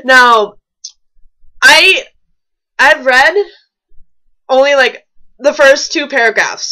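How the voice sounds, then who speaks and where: Bright lively woman doing a funny read-along, swings up and down a lot, casual asides. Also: fairly high pitch, low-quality recording. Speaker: co-host reading fan fiction aloud. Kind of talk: comedic reading with casual commentary.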